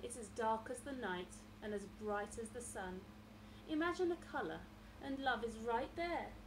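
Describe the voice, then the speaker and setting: A woman voicing a gentle, wise mother elephant in a storybook, reassuring and emphatic, in a noisy room recording. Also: a little high, normal speed, natural voice.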